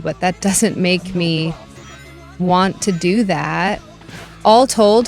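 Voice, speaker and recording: A woman with a fairly low voice narrates a scripted game review over music. Her delivery is dry and critical, with a wry edge.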